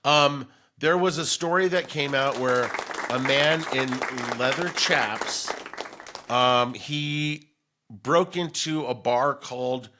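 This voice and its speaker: A man's voice: mid-range voice, slow pace, crowd noise, noisy background. A man co-hosting a casual comedic talk show, telling stories in a rambling way and acting out bits of dialogue. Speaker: podcast co-host.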